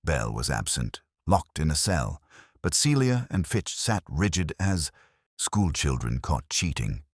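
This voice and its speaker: A man narrates a detective story in an extremely low voice. His pitch moves around in a lively way as he reads the scripted first-person prose. The sound is dry. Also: a little slow, no echo.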